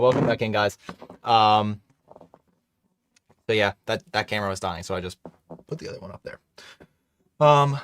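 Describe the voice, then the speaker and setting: A man's voice: medium pitch, a bit bright. A man hosting a casual live stream, chatting loosely with many fillers and restarts while reading chat. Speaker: livestream host.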